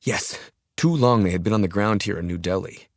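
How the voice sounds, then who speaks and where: A man's voice: on the low side, fairly quick, dry recording. A man reading a thriller audiobook as a dramatic scripted narration, recorded close in a studio. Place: dry studio, close mic.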